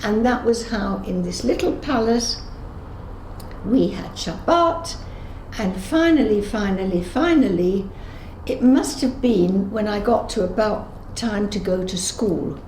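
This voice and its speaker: A woman's voice: mid-range voice, expressive, slow pace, a little mellow. A woman in her eighties giving personal testimony in an interview, telling her memories conversationally and correcting herself as she goes. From England. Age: 80s. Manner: conversational storytelling with self-corrections and acted-out dialogue. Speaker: Holocaust survivor giving testimony. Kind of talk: unscripted personal reminiscence in an interview. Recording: roomy echo, clear background noise.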